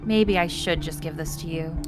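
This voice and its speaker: A bitter, defiant sorceress from a vampire game drama, acted by a woman. Her voice sits on the low side and she speaks bluntly, full of scorn and weariness. Music plays clearly behind her. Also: normal speed, natural voice. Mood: weary, fed up. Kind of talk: amateur voice acting of a visual novel.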